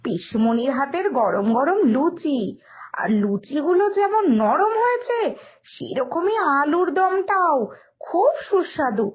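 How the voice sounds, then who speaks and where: A woman's voice: high voice, very animated, a little slow. A woman voicing a polite, naive young daughter-in-law in a cartoon drama, earnest in manner, with a West Bengal accent. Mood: pleased.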